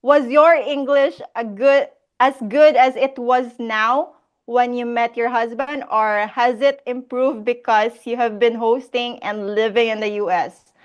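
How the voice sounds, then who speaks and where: A woman's voice: a little high, steady tone, unhurried, clear, resonant tone, muffled recording. A Filipino woman hosting a casual live Q&A, reading out viewer questions and laughing often. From Philippines. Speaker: host of a live Q&A.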